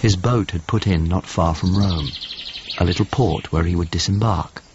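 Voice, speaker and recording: An actor playing a thoughtful painter's model, narrating a radio drama in measured, descriptive phrases. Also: male voice, sits low, noisy background, natural voice. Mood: wistful recollection. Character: thoughtful male painter's model grieving a lost friend. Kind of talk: radio drama, reflective first-person narration. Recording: muffled audio with music underneath. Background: music.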